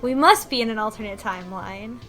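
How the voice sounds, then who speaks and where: A woman's voice: medium pitch, brisk, a bit bright. A woman on a podcast panel, casually recapping and discussing a game. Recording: music behind the voice. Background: music.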